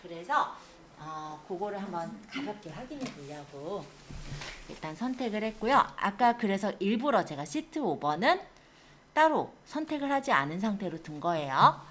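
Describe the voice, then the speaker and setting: A woman instructor giving an informal step-by-step software tutorial, explaining things and often checking that learners follow. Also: mid-range voice, big pitch swings, normal speed, slight background noise. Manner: explanatory, often checks understanding with tag questions. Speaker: computer skills instructor.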